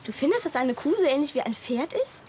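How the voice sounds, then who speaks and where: High-voiced, cheeky daughter. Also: female voice, big pitch swings, quick pace, darkish tone, slight background noise. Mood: cheeky, probing. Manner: insistent, needling. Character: cheeky, pedantic, persistently questioning daughter Nicole. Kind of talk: German radio play acting.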